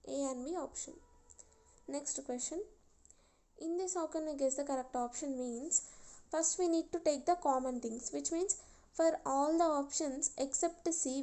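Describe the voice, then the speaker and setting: A woman's voice: high-pitched, mellow. A young woman, casually explaining exam questions step by step to her viewers. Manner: walks through each question slowly and patiently. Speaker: YouTuber sharing exam tips. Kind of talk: casual tutorial.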